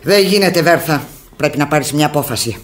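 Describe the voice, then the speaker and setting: A woman's voice: sits low, animated. An actress playing a stern, impatient, practical woman in a Greek radio drama, firm, insistent and scolding. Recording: echoey, noisy old radio recording.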